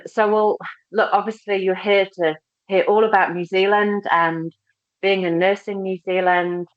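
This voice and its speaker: A woman with a low voice and a slight English accent hosts a webinar from her laptop, chatty and friendly, with frequent ums and restarts. The video-call sound is dry and a little dull. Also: dry recording. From England. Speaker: recruitment team head, webinar host. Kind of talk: casual webinar presentation. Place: holiday house by the beach.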